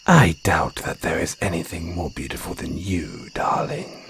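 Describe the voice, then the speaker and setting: A man acting a territorial male naga in an intimate audio roleplay, speaking in a hushed, deliberate, breathy voice with long pauses. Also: sits low, big pitch swings, slight background noise, exaggerated voice. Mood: flirtatious, coaxing. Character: wary, territorial male naga, softening into flirtatious care. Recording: jungle insect ambience behind voice. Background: crickets and jungle ambience.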